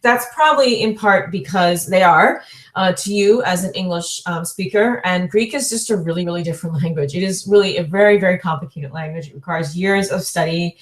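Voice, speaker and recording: A woman's voice: on the low side, brisk, reverberant. A woman in her forties giving a recorded online lecture, chatty and full of fillers, correcting herself and laughing lightly between points. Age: 40s.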